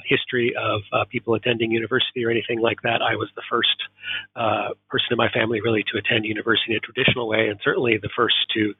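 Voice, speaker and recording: Give me an American man talking over a phone-like line, husky and hesitant. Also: fairly low pitch, no room sound. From Oregon, United States. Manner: thoughtful, many fillers. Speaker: guest professor. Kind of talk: interview guest. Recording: tinny phone-like remote line.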